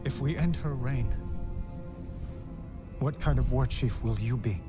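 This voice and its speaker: A man's voice: medium pitch, unhurried, close to an everyday voice. An actor playing an earnest, solemn young human king in a dramatic game cinematic. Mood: grave, earnest. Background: cinematic music.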